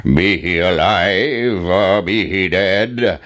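A man voicing a wicked, hungry giant from a fairy tale, with slow, drawn-out, bellowed speech. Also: fairly bright tone, full character voice. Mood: menacing, hungry. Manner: slow, drawn-out, booming commands.

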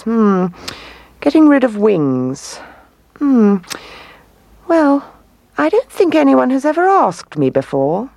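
A wise old-fashioned male owl in a children's storybook, performed by a woman. He ponders aloud in a puzzled, musing way. The voice is raised high and swoops about in a dry recording. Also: vocal fry, darkish tone, dry recording, exaggerated voice.

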